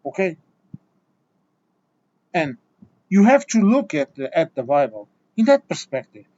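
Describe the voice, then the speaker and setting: A man's voice: on the high side, big pitch swings, unhurried, darkish tone, lo-fi sound. A man from Portugal, a Portuguese native speaker, giving an exasperated, lecturing opinion monologue full of restarts and repeated words. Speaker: video essayist.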